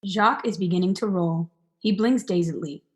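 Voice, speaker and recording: A woman's voice: on the low side, normal speed, a little mellow. A young woman reading stage directions as the narrator of a scripted radio play. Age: young adult.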